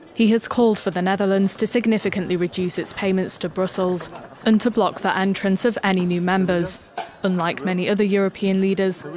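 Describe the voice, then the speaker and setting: Woman, news reporter, scripted narration, mid-range pitch. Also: a little mellow.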